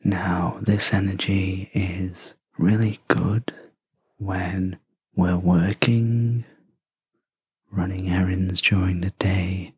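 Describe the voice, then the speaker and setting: Super breathy whispery sleep meditation voice, barely changes pitch, soft and gentle, close dry sound. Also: fairly even intonation, dry recording. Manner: gentle, soothing, long pauses between short phrases. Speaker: sleep meditation guide, host. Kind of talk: guided sleep meditation, soft scripted narration.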